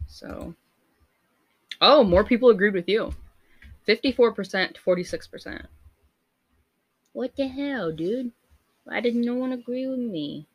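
A young woman with a low voice co-hosting a casual podcast, reading out would-you-rather questions and laughing often. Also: lively intonation, measured pace, pauses often. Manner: giggly, loose and chatty. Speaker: podcast co-host.

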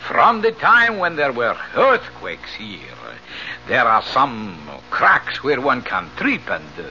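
Bright-voiced, flowery resort servant. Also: male voice, mid-range voice, wildly swooping pitch, takes it slowly, highs cut off, soft background music, full character voice. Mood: earnest, deferential. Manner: formal, flowery, deferential. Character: courteous, florid male resort servant, proud of his island. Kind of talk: radio drama acting. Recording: band-limited 1970s radio broadcast, faint noise.

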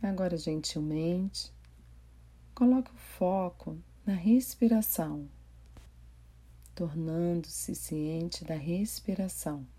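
A woman with a Brazilian accent guiding a meditation in a gentle, unhurried manner with pauses. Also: on the low side. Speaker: meditation guide. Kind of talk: guided body scan meditation.

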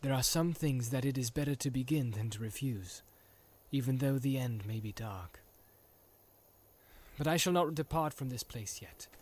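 A man's voice: mid-range voice, moderate pace, darkish tone, lightly acted. Aragorn, a grave ranger leader, read by a man. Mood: solemn.

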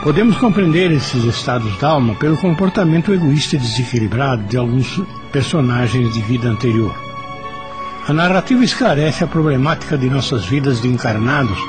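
A Brazilian man giving scripted moral commentary in a formal, didactic manner. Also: medium pitch. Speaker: spiritist commentator. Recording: music behind the voice.

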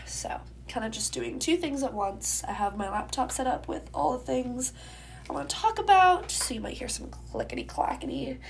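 A woman's voice: high voice, expressive, moderate pace, vocal fry. Congested young woman hosting a podcast. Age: young adult. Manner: chatty, many ums, getting ready while talking. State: congested, stuffy nose, sore throat. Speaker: podcast host. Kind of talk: casual solo podcast chat.

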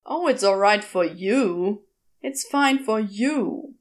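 A woman's voice: mid-range voice, lively intonation, slow. A woman voicing a grumbling, sardonic male demon in a velociraptor's body, rambling and exasperated, in a storybook reading. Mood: incredulous, ranting. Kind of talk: storybook character voice in audio fiction.

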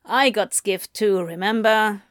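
Woman reader doing a sulky wisecracking demon man, unhurried and dry. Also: fairly high pitch, expressive, dry recording. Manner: drawling, hissing, sulky. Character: sardonic male demon, cocky and teasing.

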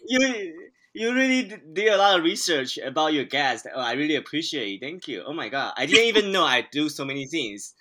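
A man's voice: fairly high pitch, sing-song, a little fast, bright, forceful tone. A man from Taiwan, a podcast guest who talks playfully and laughs often. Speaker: guest, film director and comedian. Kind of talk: casual podcast interview.

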